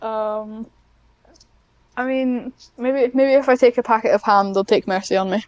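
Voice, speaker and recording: A woman's voice: a little high, fairly even intonation, a little slow, darkish tone, smooth, no echo, highs cut off. A young woman with a British accent, chatting casually as a podcast guest and telling stories in a rambling, giggly way. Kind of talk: casual chat.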